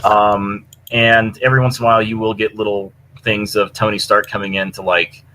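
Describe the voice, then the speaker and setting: A man's voice: fairly low pitch, level tone, brisk, pushed and bright, slight background noise. A man chatting casually and enthusiastically in a rambling way as a podcast co-host, swearing freely as he recommends stories. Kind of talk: casual chat recommending fan fiction.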